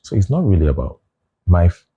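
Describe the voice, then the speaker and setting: A man in his forties with a Nigerian English accent, talking as an interview guest. His voice sits low, with a mellow, husky tone. He reflects aloud in an easy conversational way. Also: very animated, fairly quick, muffled recording. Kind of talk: casual interview chat.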